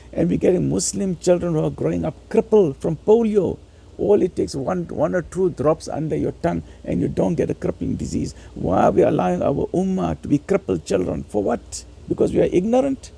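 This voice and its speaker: A man giving an informal religious lecture in a husky, gravelly voice, teaching emphatically and conversationally with repeated phrases. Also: high voice, lively intonation, a little fast, mellow.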